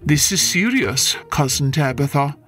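A woman plays a no-nonsense neighbour cat from a storybook, her voice pushed lower and firm. She talks bluntly with a lively rise and fall. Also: measured pace, soft background music. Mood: stern.

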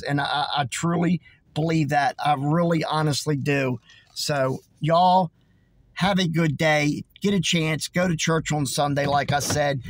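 A man in his forties, hoarse from losing his voice, preaching earnestly and emphatically to a phone camera from inside his car. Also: on the high side, no echo. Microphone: phone held close.

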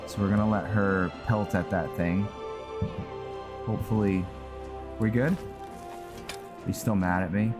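A man's voice: lowish voice, steady tone, slow pace, long pauses. A man doing casual let's play commentary, thinking aloud with mild exclamations as he plays. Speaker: gamer playing and commentating. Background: game music.

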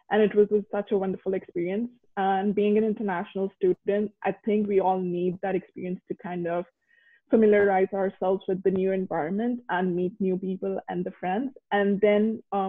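A young man from India, a university student, answering earnestly on a video call. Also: high voice. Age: young adult. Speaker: student panelist. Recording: tinny phone-like call audio.

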